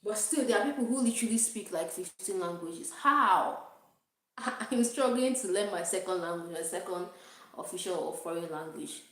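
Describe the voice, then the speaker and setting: Young Nigerian woman, low-ish voice, rambling self-deprecating livestream chat, very echoey room. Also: expressive, normal speed, a bit bright. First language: Igbo. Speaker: vlogger.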